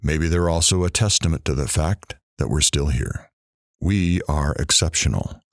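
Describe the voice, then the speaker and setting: Man playing radio talk host, very low voice, calm, earnest, measured, reassuring, dry studio sound, audio drama. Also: no echo. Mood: reflective.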